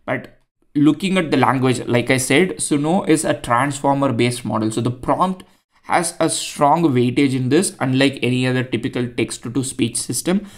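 A man's voice: mid-range voice, moderate pace. A young man with an Indian accent, explaining a coding tutorial in a chatty way with many fillers and restarts. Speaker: tutorial host. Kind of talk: screen-recorded coding tutorial.

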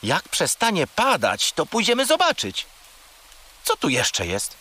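Excitable little furry creature, hugely animated. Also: male voice, sits high, measured pace, full character voice. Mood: curious, unimpressed. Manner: excitable, opinionated, childlike. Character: small furry male creature, childlike, curious, naive. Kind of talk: children's audio drama acting.

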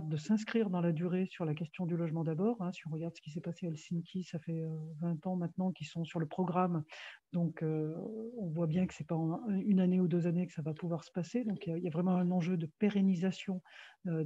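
Female presenter, soft-edged mellow timbre, halting delivery, muffled web-call sound. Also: fairly low pitch. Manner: halting, repeats words. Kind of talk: informal presentation over web conference. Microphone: video call. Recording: dull band-limited video call.

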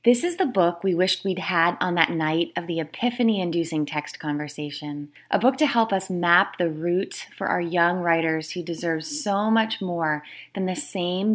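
A woman who is an author, reading her own book aloud as scripted narration. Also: fairly low pitch.

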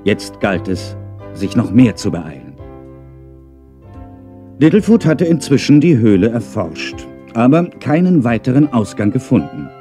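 A man's voice: mid-range voice, very animated, unhurried, warm tone, faint music behind. A middle-aged man narrating a children's story in a playful way, with wry, chatty asides.

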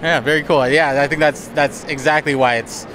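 A man's voice: on the high side, fairly even intonation, moderate pace, background noise. A man in his thirties conducting a casual fan interview in an informal, appreciative manner. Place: busy convention hall booth. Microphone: handheld interview microphone. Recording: busy convention crowd behind voice.

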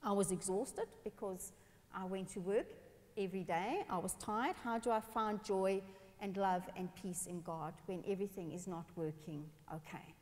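A woman from South Africa, a pastor telling personal stories in a lively sermon on a church stage. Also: medium pitch, normal speed. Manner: animated, expressive, gestures while speaking. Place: large dark church stage. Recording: long church hall reverb.

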